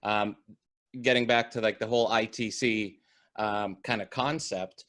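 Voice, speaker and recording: A man with a slightly low voice talks casually on an online panel. He is wordy and halting, and the sound is very dry, with no room around it. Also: steady tone, fairly bright tone, no room sound. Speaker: theater producer. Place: video call.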